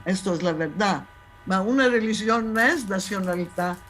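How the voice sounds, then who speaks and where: A woman's voice: medium pitch, normal speed, a bit bright, soft background music. A woman in her seventies from Thessaloniki, speaking in an interview with a Salonica Judeo-Spanish accent, emphatic and insistent, often restarting her phrases. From Thessaloniki, Greece. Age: 70s. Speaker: guest historian. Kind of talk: conversational interview.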